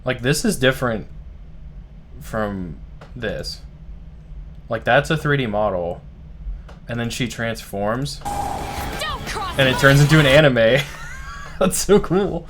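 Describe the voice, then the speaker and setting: Rambling, excited man reacting live. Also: mid-range voice, takes it slowly, pauses often, slight background noise. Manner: excited, rambling, heavy use of "like". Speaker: streamer host. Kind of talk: casual live reaction commentary.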